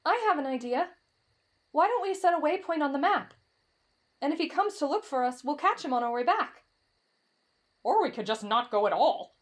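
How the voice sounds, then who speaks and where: A woman's voice: sits high, expressive, moderate pace, long pauses. A woman voicing a timid, lovestruck teenage boy in an anime-style roleplay drama, with a dramatic, whiny delivery that swings between fretful and gushing. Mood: anxious, fretful. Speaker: voice actor in roleplay audio.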